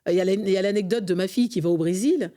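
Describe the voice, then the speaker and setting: Warm-voiced, fervent middle-aged Frenchwoman. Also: medium pitch, rapid-fire. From France. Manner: earnest, fervent, frequent hesitations. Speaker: podcast guest, association vice-president. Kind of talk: conversational interview.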